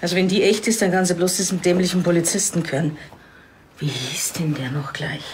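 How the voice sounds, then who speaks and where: An actress playing a chatty, haughty, well-off widow in a TV crime comedy. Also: female voice, on the low side, steady tone, brisk, slight background noise, lightly acted. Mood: chatty, disdainful.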